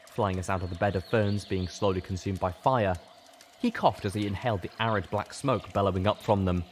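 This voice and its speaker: A man narrating a scripted first-person story in a dramatic, deliberate storytelling manner. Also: low-pitched, slight background noise. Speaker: audiobook narrator.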